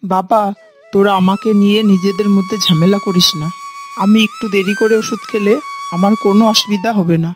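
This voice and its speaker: A woman voicing a gentle, long-suffering elderly mother-in-law in a cartoon, placating and resigned. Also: medium pitch, huge pitch swings, a little fast, faint music behind. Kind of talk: cartoon moral story voice acting.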